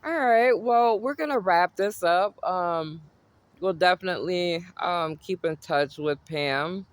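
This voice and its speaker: Male interviewer, hesitant and filler-heavy, slightly high voice. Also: a little slow, clear, resonant tone, no room sound. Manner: hesitant, many fillers and restarts. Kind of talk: interview questions.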